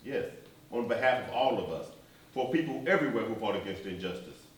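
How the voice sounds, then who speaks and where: An actor playing a dignified civil rights preacher in a stage play, with formal, deliberate speech. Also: male voice, on the low side, animated, natural voice. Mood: composed, pointed. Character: dignified, measured preacher and civil rights leader, adult man. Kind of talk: one-act stage play dialogue. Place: live theatre performance, recorded from far off. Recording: distant, echoey, noisy stage hall.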